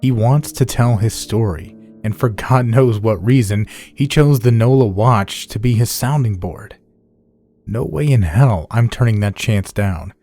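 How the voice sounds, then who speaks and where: A man playing a cocky, loudmouthed veteran newspaper chief in an audio drama, brash, sardonic and dismissive. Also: on the low side, a little slow. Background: music bed.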